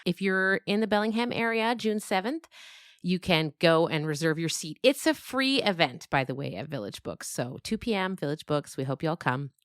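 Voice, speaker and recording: A woman's voice: fairly low pitch, expressive, moderate pace, no echo, studio quality. A woman hosting a podcast, speaking casually to her listeners as she gives announcements. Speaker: podcast host and creator.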